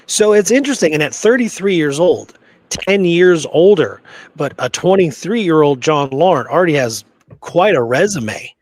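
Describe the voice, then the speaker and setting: A man co-hosting in a casual chat, informal and halting as he thinks out loud. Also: fairly high pitch, lively intonation, no echo. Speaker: co-host. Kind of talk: casual chat, reacting and questioning.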